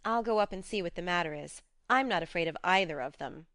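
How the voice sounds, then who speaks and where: A woman voicing a spirited, frank young woman who talks briskly and coaxingly. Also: on the low side, fairly bright tone, no echo, natural voice.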